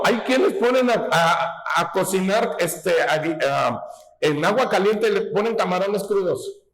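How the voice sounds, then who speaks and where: Pastor preaching slow, folksy, keeps asking if you follow, echoey church hall. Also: male voice, on the high side. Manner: folksy, asks rhetorical questions, teaching tone. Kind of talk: sermon.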